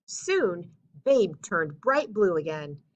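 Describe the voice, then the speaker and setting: A woman reading a children's storybook aloud as narrator, with expressive, emphatic phrasing. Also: lowish voice, takes it slowly, highs cut off. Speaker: story reader.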